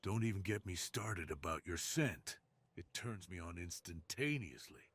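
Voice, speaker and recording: A man voicing an alluring, dominant crime boss in an intimate ASMR roleplay, speaking in a slow, teasing, hushed way. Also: low voice, animated, breathy edge, exaggerated voice. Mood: dominant, admiring. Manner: slow, teasing, hushed delivery that drops into whispers. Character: alluring, dominant, seductive male crime boss with a tender side.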